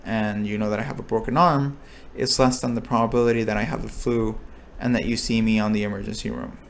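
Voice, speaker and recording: A man's voice: lowish voice, fairly even intonation, fast talker, gravelly, slight background noise. A young man explaining a probability lesson, thinking aloud with restarts and checking in with his listeners. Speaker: recitation instructor. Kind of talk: explaining a lecture topic.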